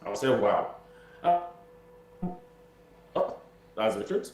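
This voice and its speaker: A man's voice: on the low side, lively intonation, drawn-out words, darkish tone, soft background music. Nigerian man commentating in an echoey room. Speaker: guest political commentator. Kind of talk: spoken political commentary in interview. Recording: roomy echo with noise and hum.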